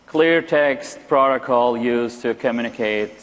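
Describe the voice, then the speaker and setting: A man whose first language is Arabic, presenting a live security demo at a conference and often pausing with fillers and restarts. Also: mid-range voice, fairly bright tone. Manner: hesitant, many fillers and restarts. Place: large conference hall. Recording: long hall reverb.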